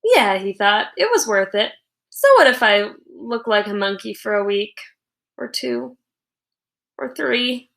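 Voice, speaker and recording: An exasperated schoolboy played by a woman reader in a children's storybook. The voice is high and emphatic, with a pleading, put-upon manner. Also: lively intonation, unhurried, gravelly, fairly bright tone, full character voice. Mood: resigned. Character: exasperated boy dreading a bad haircut.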